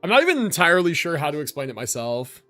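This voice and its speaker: A man streams a video game and chats casually over its music. His voice sits a little high and swings around a lot in pitch. He talks in loose, rambling slang and swears freely. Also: very animated, fast, bright, forceful tone. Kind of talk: casual gaming stream commentary.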